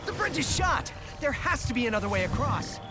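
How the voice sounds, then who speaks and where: Youthful, very animated alien hero. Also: male voice, extremely high pitch, a little fast. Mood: alarmed. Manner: casual, conversational. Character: youthful, earnest, self-doubting male furry alien hero. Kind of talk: video game cutscene acting. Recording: music behind.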